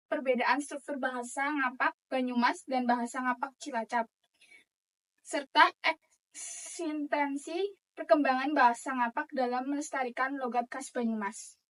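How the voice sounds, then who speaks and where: A young woman with a very high voice formally opens an interview as a student. She is heard from some distance away from the microphone. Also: fairly bright tone. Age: young adult.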